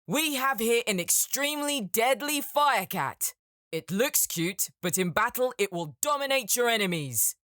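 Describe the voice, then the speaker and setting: Guy doing a cocky young game mage for an audiobook, super animated, theatrical announcer vibe, dry sound. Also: very high-pitched, unhurried, no room sound, full character voice. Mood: grand, showy. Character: showy, smirking young male game mage.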